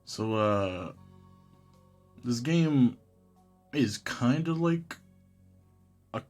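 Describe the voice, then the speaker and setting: A man's voice: medium pitch, lively intonation, very slow, a little mellow. A man livestreaming a game, chatting casually and reading on-screen text aloud in a laid-back, mumbly way, humming to himself. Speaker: live game streamer. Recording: music behind the voice. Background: game music.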